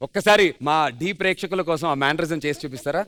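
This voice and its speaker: A man hosting a TV dance show with lively stage presenting and banter, music under his voice. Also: on the high side, fast, very noisy.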